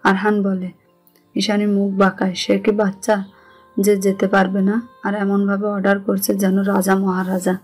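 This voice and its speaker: A woman's voice: mid-range voice, monotone, measured pace, a little mellow, slightly rough. A woman with a Bangladeshi accent reading scripted narration of a romantic story. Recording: music under the voice.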